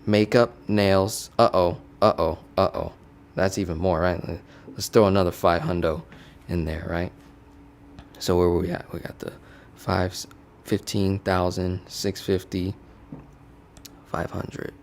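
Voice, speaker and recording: A man's voice: sits low, fairly even intonation, measured pace, a little hiss or hum. A man casually walking viewers through startup costs as a finance educator, playful and chatty, constantly checking in with "right?". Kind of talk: casual teaching walkthrough. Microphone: studio desk mic.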